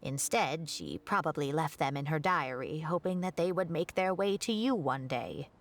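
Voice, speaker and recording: A woman voicing a wise, kindly older professor in a video game, speaking in a measured, reflective way. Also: deep voice, lively intonation, slight background noise. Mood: gentle, kindly. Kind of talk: scripted game dialogue.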